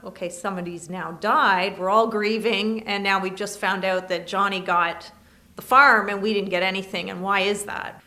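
A woman lawyer with a Canadian accent, answering interview questions in a measured, explanatory way. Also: mid-range voice, bright, forceful tone.

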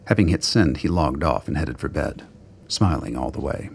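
A man's voice: very deep voice, lively intonation, normal speed, gravelly, slight background noise. A man giving dramatic scripted narration of fiction in a brooding, literary storytelling manner. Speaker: narrator of a radio play.

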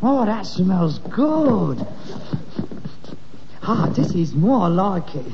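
An actor playing a touchy middle-aged grown-up son with a London accent, emphatic and theatrical in British sitcom style. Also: male voice, sits high, very animated, extremely slow, gravelly, exaggerated voice. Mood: contented. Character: petulant grown-up son, touchy, devoted to late mother. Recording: muffled, noisy, reverberant old sitcom recording.

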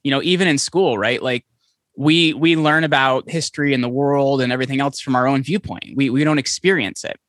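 A man being interviewed as a podcast guest, talking in quick, animated stories full of restarts. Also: mid-range voice, no room sound, clean studio sound. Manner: fast, animated storytelling with many restarts. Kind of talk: conversational interview. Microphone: podcast microphone, remote call.

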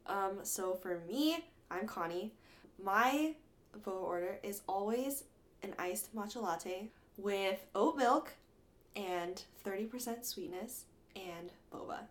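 A woman's voice: medium pitch, animated, slow. A young woman from Atlanta co-hosting a casual college radio podcast, upbeat and laughing often between prepared lines. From Atlanta, Georgia. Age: young adult. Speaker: podcast co-host.

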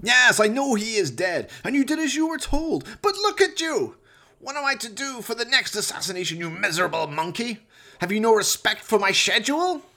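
A man's voice: high voice, sing-song, normal speed, sharp tone, voice pushed up, full character voice. A man playing an evil scheming mad scientist in a horror radio play, theatrical, pompous and sneering. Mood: sneering rage. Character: evil scheming mad scientist doctor, mocking and prone to rage.

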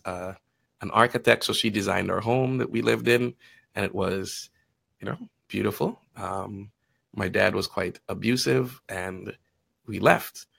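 Low gravelly trini guy chatting on a podcast, tells stories, acts out who said what. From Guyana and Trinidad. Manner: animated, acts out quoted dialogue. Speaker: podcast guest, financial advisor. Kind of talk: casual conversational storytelling.

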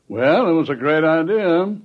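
High-voiced, excitable radio scientist. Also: male voice, measured pace. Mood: resigned. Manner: emphatic, dramatic. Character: excitable, eager male lab scientist, the hero's colleague. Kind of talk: 1950s radio drama acting. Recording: dull old 1950s radio recording.

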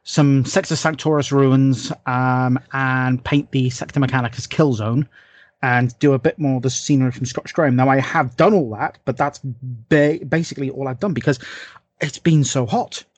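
A man co-hosting a podcast in casual hobby chat, speaking in a halting, rambling way and repeating his phrases. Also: medium pitch, dry recording. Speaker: podcast co-host.

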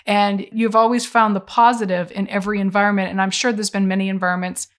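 A woman's voice: medium pitch, level tone, fast talker. Affirming woman interviewer. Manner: warm, affirming, frequent agreeing murmurs. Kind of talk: interview conversation.

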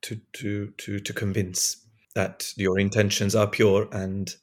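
A man from talks slowly through a podcast chat, stopping and starting often. His voice is a little low and still hoarse from a recent illness. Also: little pitch movement. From Eastern Europe. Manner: hesitant, many restarts and fillers. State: hoarse, recovering from lost voice. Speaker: podcast guest. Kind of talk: casual conversation.

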